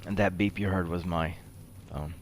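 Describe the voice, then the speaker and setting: A man's voice: deep voice, steady tone, moderate pace, a little hiss or hum. A man giving casual let's-play commentary as a game streamer, muttering offhand to himself.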